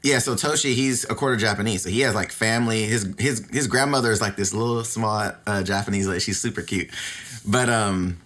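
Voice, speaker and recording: A man in his thirties hosting a podcast, asking questions in a relaxed, slangy way and reacting eagerly. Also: on the low side, fairly quick. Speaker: podcast host. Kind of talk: casual podcast interview.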